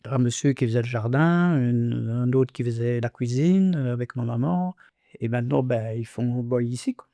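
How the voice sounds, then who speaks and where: An older man in his sixties talks in a halting, earnest way, with frequent restarts and hesitations. His voice is mellow and warm at a middle pitch, recorded close and dry with no room sound. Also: gravelly, no echo. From Congo. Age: 60s. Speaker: interviewee. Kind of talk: reflective conversational testimony.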